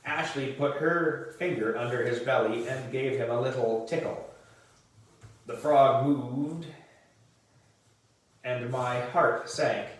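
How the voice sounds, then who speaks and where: A man reading a children's book aloud as narration, in a deliberate way with the odd stumble and reread. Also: medium pitch. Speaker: volunteer reader for a book club. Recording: distant mic in echoey room.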